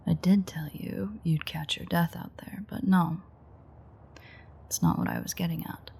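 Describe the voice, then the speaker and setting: A playful, dangerous vampire woman, performed by an actress murmurs in an intimate audio drama. Her voice is dark and very husky, with a gravelly creak. She teases wryly, often dropping close to a whisper. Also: low-pitched, heavily acted. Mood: wry, teasing. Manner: teasing, wry, murmured. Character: teasing, playful ancient female vampire, flirtatiously menacing.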